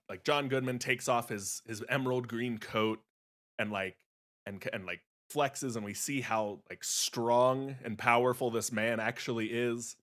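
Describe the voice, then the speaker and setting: A man on a podcast panel giving a casual improvised pitch, often pausing as he searches for words. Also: a bit bright. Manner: halting, pausing to find words.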